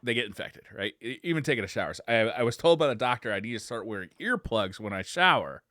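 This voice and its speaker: A man co-hosting a podcast in casual chat, joking and swearing freely in an animated way. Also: medium pitch, quick pace, dry recording, clean studio sound. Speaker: podcast co-host.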